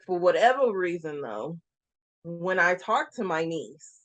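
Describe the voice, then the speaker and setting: A woman's voice: fairly low pitch, unhurried, no echo, poor audio. A chatty woman with a Californian accent and a slight Southern lilt, co-hosting a casual review chat. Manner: chatty, animated, laughs easily. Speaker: co-host.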